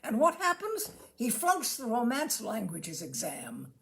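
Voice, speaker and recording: A woman acts out an exasperated family man in a comic audiobook. He complains indignantly about money, with lively, swooping intonation and a creaky edge. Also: a little high, unhurried, heavily acted. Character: exasperated family father scolding his wife over money.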